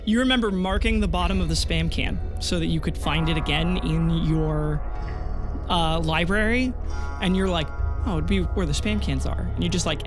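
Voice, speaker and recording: A high-pitched male game master narrates a tabletop roleplaying session. Music plays clearly behind his voice as he describes the scene to his players. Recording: music bed under the voice.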